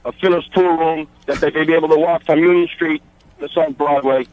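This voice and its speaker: Easygoing toll collector played by a man, slightly high voice, casual working-man patter, mock-solemn radio comedy. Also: steady tone, fairly quick, highs cut off, natural voice. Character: easygoing male highway toll booth collector giving a blessing.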